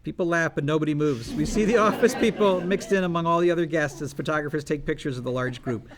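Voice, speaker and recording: Fast-talking man reading stage directions. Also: a little high, crowd noise. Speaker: stage-direction reader at a script table read. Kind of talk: scripted narration read aloud.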